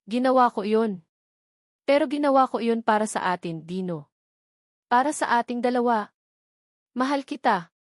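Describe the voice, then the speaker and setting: A woman's voice: on the high side, expressive, moderate pace, pauses often, no echo, clean studio sound, lightly acted. A Filipino-accented woman narrator voicing a scheming, desperate, possessive fiancee in an audio drama, pleading and insistent.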